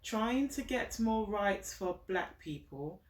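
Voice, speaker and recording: A woman reading a children's book aloud in an encouraging, teacherly way, pausing to prompt a young listener. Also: medium pitch, animated. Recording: roomy echo, distant mic, faint noise.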